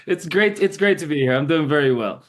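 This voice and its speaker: A man's voice: on the high side, brisk. A man from Jamaica, an actor guest, telling stories in a casual interview with lots of laughter, acting out his own past lines. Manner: animated, laughs often, acts out his own past remarks.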